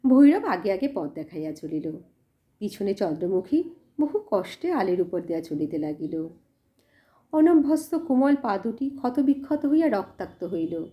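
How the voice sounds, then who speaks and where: A woman narrating a literary story in an expressive, measured storytelling manner. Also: medium pitch, muffled recording. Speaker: audiobook narrator.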